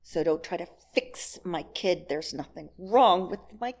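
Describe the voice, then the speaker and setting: A woman's voice: on the low side, expressive, measured pace, a little mellow, slight background noise. A middle-aged woman giving a candid, wry personal talk to a congregation, telling stories and acting out bits of dialogue. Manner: laughs as she talks. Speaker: guest speaker at a church service.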